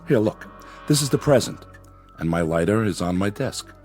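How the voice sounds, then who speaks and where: A man playing a rational psychiatrist in a drama, speaking in a deliberate, explanatory and emphatic way. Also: on the low side, animated, darkish tone, faint music behind, lightly acted. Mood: reasoning, emphatic. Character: measured, rational male psychiatrist reasoning with patient. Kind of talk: dramatic dialogue and first-person narration.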